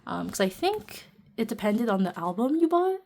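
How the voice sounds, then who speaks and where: Woman, casual vlogger, chatty and giggly, sitting at home, soft-spoken, camera mic. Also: a little high, sing-song, moderate pace, vocal fry. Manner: chatty, giggly, lots of fillers; talking softly while seated. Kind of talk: casual chatty vlog narration. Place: indoors at home, seated.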